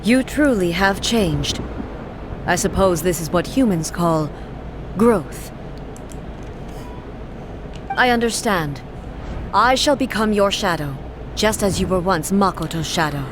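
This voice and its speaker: A stern god-queen from a game, calm and formal, slow measured lines, mid-pitched, a noisy hiss behind. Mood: solemn. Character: stern solemn female god-ruler, composed, formal. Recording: game audio under background noise.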